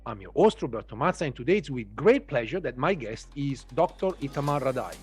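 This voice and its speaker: A man's voice: medium pitch, big pitch swings, brisk, soft background music. A man hosting an interview podcast, introducing his guest formally with some hesitant fillers. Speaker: podcast host.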